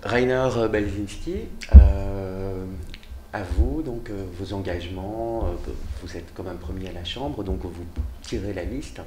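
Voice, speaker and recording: A man hosting a political interview, pausing often on fillers. His voice sits low, and the studio around him sounds roomy. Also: dark tone, a little hiss or hum. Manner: hesitant, many fillers. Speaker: talk show host. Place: television studio in Brussels.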